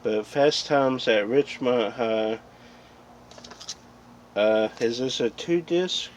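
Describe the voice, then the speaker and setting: A man's voice: mid-range voice, slow pace. A man hosting a casual, unscripted collecting vlog, rambling and thinking aloud as he goes. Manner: rambling, thinking aloud as he looks over items. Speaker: host of a video channel about film collecting. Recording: dull lo-fi sound with background noise.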